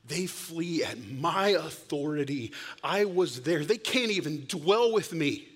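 A man preaching a sermon, telling stories with loud bursts and sudden hushed whispers. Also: on the high side, expressive, slow pace, husky. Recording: long hall reverb.

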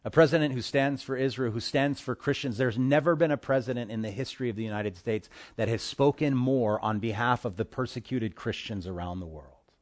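A man preaching a sermon as pastor of his church, impassioned, swinging between hushed asides and forceful declarations. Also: mid-range voice, a little fast. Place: church in Hawaii.

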